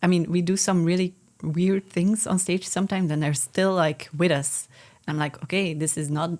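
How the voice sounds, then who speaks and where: A woman's voice: lowish voice, fairly even intonation, normal speed, a little mellow. A woman with a Dutch accent, a musician guest, answering questions thoughtfully and hesitantly in a casual interview.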